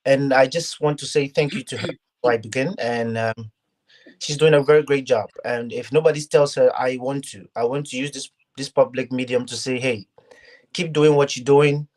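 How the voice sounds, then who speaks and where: A young man from Ghana, speaking as a guest on an online interview show. He talks earnestly and haltingly, restarting phrases as he goes, at a middle pitch. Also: fairly even intonation, darkish tone. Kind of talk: casual interview talk.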